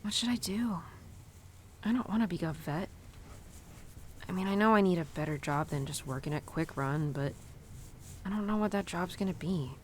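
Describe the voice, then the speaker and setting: A woman's voice: fairly low pitch, moderate pace, long pauses, slight background noise, lightly acted. A woman playing a young, animal-loving cowgirl who confides tenderly in her horse in an intimate, casual, rambling audio roleplay. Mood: worried, earnest. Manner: casual, rambling, sighing and giggly.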